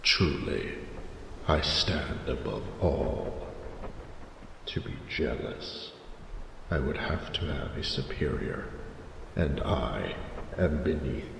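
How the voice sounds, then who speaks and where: An ancient silver dragon rumbles extremely low in a romantic audio roleplay, vain and flirtatious. He speaks slowly in grand, archaic phrases. The voice rings through a long, cavernous reverb. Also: heavily acted. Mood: haughty, boastful. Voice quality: gravelly. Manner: pompous, archaic, deliberate formal speech. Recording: roomy cavernous reverb with noticeable noise.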